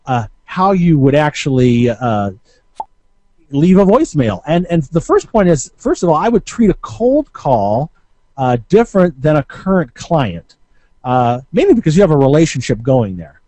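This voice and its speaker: A man giving informal instructional talk as a marketing coach, conversational and halting, often hesitating and correcting himself. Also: a little high, sing-song, dark tone, no room sound.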